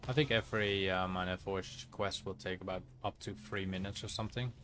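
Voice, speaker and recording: A Dutch man with a low-pitched voice streams his gameplay. He comments casually in Dutch-accented English as he goes, with faint game noise behind him. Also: fairly even intonation, fairly quick, a bit bright. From Netherlands.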